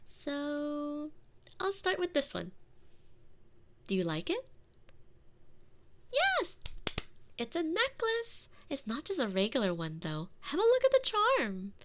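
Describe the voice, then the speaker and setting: A spoiled young lady of high birth, acted by a woman in an intimate audio roleplay. Her voice sits fairly high and moves around expressively as she coaxes and dotes. She is possessive and used to getting her way. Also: takes it slowly, long pauses, a little hiss or hum, exaggerated voice. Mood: excited, adoring. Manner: gushing, coaxing, expressive and intimate. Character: doting, possessive young noblewoman, spoiled and insistent. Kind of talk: scripted roleplay audio addressed to the listener.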